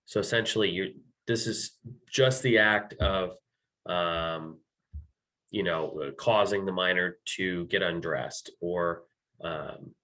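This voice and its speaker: Man, American accent, very low pitch, slightly hoarse, halting, filler-heavy, legal presenter. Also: pushed and bright. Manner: halting, many ums, self-correcting. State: losing his voice. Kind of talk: slide-based legal training.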